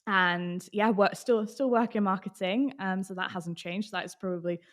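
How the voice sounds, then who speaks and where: A woman's voice: mid-range voice, steady tone, normal speed. British-accented woman chatting in an interview. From Austria. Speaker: podcast guest, marketing professional. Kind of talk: casual interview chat.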